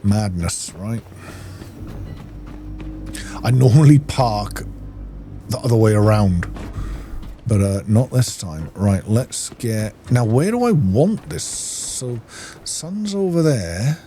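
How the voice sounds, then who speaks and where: A man giving casual, low-key gameplay commentary, musing and thinking aloud to himself. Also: animated, takes it slowly, pauses often, dark tone, slight background noise. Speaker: gaming video host.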